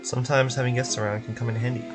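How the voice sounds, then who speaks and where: An actor playing a calm, practical young traveler with terse, matter-of-fact delivery in a video game. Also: male voice, mid-range voice, very fast, natural voice. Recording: voice under loud game music.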